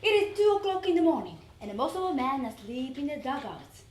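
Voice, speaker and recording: A woman's voice: high-pitched, big pitch swings, a little fast. A woman giving a theatrical, emphatic dramatic reading of a wartime letter as part of a readers theater. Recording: roomy, distant mic, faint noise.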